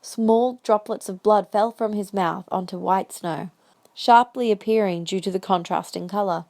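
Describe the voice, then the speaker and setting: A woman's voice: mid-range voice, normal speed, gravelly, darkish tone. A woman reading scripted story narration as the narrator of a fan audio drama.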